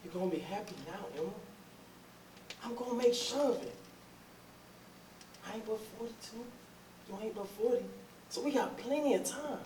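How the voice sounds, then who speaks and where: A man's voice: high-pitched, normal speed, pauses often, darkish tone, lightly acted. A man playing an ardent, insistent suitor in his forties in a readers theatre drama, with folksy, effusive speech. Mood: ardent, reassuring. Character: ardent, insistent 42-year-old man courting his lost sweetheart. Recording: roomy, distant, noisy recording.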